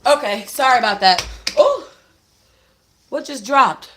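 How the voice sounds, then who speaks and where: A woman with a bright, forward voice hosts a book club livestream from home. She reads expressively and speaks in a casual, slangy, conversational way. The phone picks up a noticeably echoey room. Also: a little high, lively intonation, unhurried, a little hiss or hum. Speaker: book club livestream host reading a novel aloud. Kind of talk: expressive read-aloud with casual asides to viewers. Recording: roomy echo on a phone livestream.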